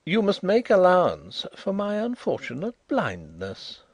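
A smooth-voiced blind gentleman detective talks in measured, courteous tones with a thread of dry irony, as a storyteller puts him on. His delivery stays lively in pitch and close, dry and direct. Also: dry recording. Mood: smoothly ironic. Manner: measured and politely ironic.

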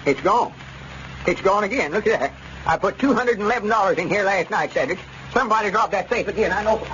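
Folksy suspicious Southern old-timer. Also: male voice, high voice, quick pace, fairly bright tone. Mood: alarmed. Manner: folksy, rustic, ungrammatical speech. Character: stubborn old rural storekeeper and justice of the peace, suspicious. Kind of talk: 1930s radio comedy acting. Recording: muffled, noisy old radio broadcast.

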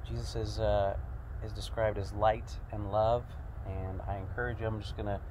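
A middle-aged man, a pastor giving a relaxed devotional talk in a snowy backyard, with many fillers, recorded by a far camera. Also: fairly low pitch, moderate pace. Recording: faint outdoor noise.

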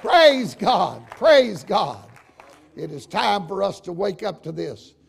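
An older man with a gravelly, raspy voice, preaching a folksy, emphatic sermon to his congregation. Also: high-pitched, big pitch swings, takes it slowly, some chatter behind. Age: older, 60s or 70s. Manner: emphatic, folksy, addressing listeners directly with questions. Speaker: preacher, church bishop. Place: church sanctuary, at the pulpit.

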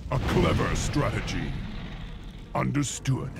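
Terse, gravelly fantasy warrior. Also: male voice, mid-range voice, very animated, slow, very noisy, exaggerated voice. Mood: resolute. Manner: terse, declamatory. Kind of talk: fantasy game unit barks. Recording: loud game music behind voice.

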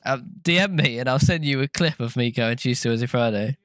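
British guy streaming games on a headset, mid pitch, chatty and sings bits. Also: fast, a bit bright, no echo. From Britain. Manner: chatty, thanks donors, sings little jingles. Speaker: co-host of a game stream. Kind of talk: casual chat while gaming. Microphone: gaming headset.

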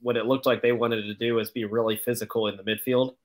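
Casual man, medium-pitched voice. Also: little pitch movement, fast talker. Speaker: sports podcast panelist. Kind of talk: casual sports analysis. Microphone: video call microphone.

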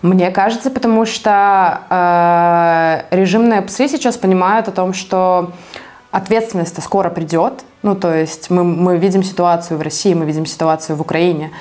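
A woman from Belarus answering interview questions as an activist, speaking conversationally and a bit haltingly as she thinks aloud. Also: fairly low pitch, steady tone, darkish tone.

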